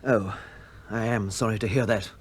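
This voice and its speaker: Deferential British bank manager. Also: male voice, fairly low pitch, fairly even intonation, moderate pace, slightly rough. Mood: obliging. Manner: polite, formal. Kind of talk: British radio play acting.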